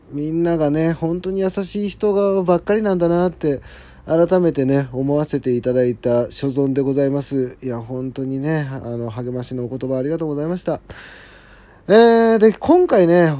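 A man's voice: medium pitch, warm tone. A man hosting a solo show, giving a casual personal update to listeners in a polite, conversational manner. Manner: polite, apologetic, conversational with frequent agreeing asides. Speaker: solo audio show host.

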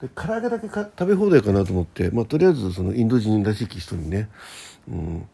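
A man's voice: lowish voice, big pitch swings, fast, creaky, warm tone, husky. A man hosting a casual solo radio talk in a rambling, conversational manner. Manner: rambling, conversational, acts out quoted exchanges.

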